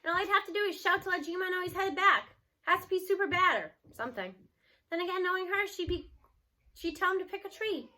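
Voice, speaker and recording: A woman narrating a children's story aloud in an expressive read-aloud style. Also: extremely high pitch, a little fast.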